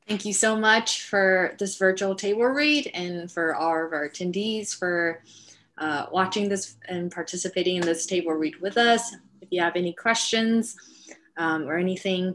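A woman's voice: on the low side, a bit bright. A middle-aged woman moderating an online question-and-answer session as host, on a video call with a headset. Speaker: host, writer and director. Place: indoor room, video call. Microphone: video call, wearing headset.

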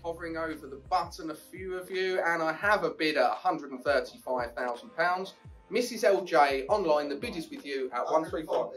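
A brisk English auctioneer in his thirties calls out bids and urges buyers on, his voice pitched fairly high. He speaks from a podium mic in a small auction room and sounds a little distant from the microphone. Faint music plays underneath. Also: a bit bright. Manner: brisk, upbeat, encouraging bidders. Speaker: property auctioneer. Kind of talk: live auction calling. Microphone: podium mic, filmed from a distance.

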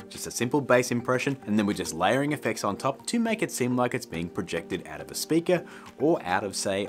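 A middle-aged man presents a tutorial in a casual, chummy style. His medium-pitched voice moves around animatedly as he addresses viewers. Faint music plays behind him. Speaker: tutorial host. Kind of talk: casual, scripted video tutorial to camera.

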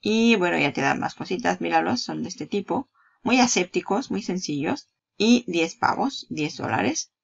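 A chatty woman talks the viewer through a sales page in an easy vlog voice-over. Her voice sits a little low and has a gravelly fry. The recording sounds dull and lo-fi. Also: animated, normal speed. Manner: chatty, laughs at herself, addresses viewer directly. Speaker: marketing YouTuber. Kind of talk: casual screen-share product walkthrough.

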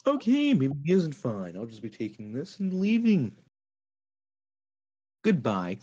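A smug cartoon rabbit trickster acted by a man with a lively, bouncing delivery. He teases casually. The recording is dry and a little dull, like an amateur dub. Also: mid-range voice, a little slow, slightly rough, dry recording, full character voice. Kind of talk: cartoon fandub acting.

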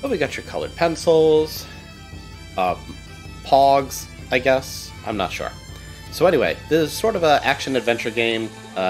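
Man, casual game commentary, lively up-and-down voice, self-deprecating, faint music under. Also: medium pitch. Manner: chatty, self-deprecating, reads game dialogue aloud. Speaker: let's play host.

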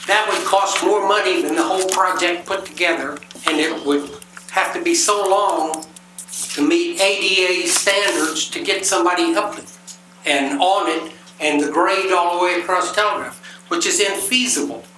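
A man in his seventies, a retired electrician, giving earnest plainspoken public comment at a council meeting with many uh pauses. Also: sits high. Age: 70s. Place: large council chamber. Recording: echoey chamber with background noise.

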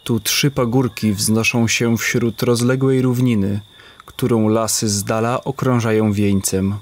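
Slow, measured male narrator. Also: mid-range voice, a little hiss or hum. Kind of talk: scripted storybook narration.

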